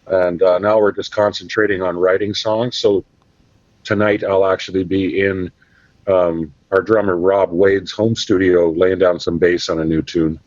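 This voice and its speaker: A man's voice: low-pitched, level tone, measured pace, darkish tone, no room sound. A Canadian man, a podcast guest, chatting casually and rambling with many fillers. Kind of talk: casual interview chat. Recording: dull, dry sound.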